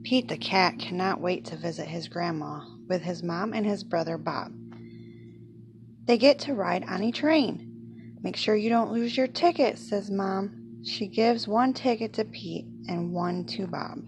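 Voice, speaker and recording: A woman reading a children's picture book aloud in an expressive storytelling manner. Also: fairly low pitch, measured pace, vocal fry, noisy background. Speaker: storybook reader.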